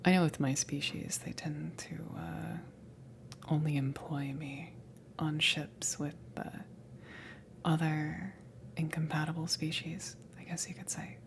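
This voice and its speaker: A woman voicing a friendly, flustered alien ship crewmember in a soft ASMR roleplay, speaking in a hesitant, breathy half-whisper. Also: low voice, flat delivery, a little hiss or hum. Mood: flustered, embarrassed. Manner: hesitant, awkward, giggly, halting.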